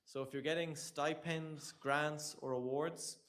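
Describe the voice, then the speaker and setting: A man's voice: fairly high pitch, fairly even intonation, a little slow, a bit bright. A young man with an Irish accent, presenting a tax information session to a room of students in a conversational, joking way. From Ireland. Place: lecture room with an audience.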